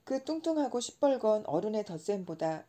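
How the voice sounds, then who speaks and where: A woman's voice: mid-range voice, very animated, normal speed, warm tone, lightly acted. A woman voicing an earnest, stubborn little boy prince in a storybook reading, with insistent, pleading questions. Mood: upset.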